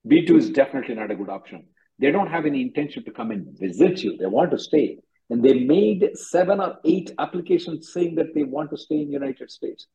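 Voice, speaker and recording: Very husky blunt man advising callers. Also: on the high side, expressive, fairly quick, dark tone. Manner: blunt, repeats himself for emphasis. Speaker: immigration attorney hosting a call-in. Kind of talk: advising callers on a conference call. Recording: dull lo-fi conference call audio.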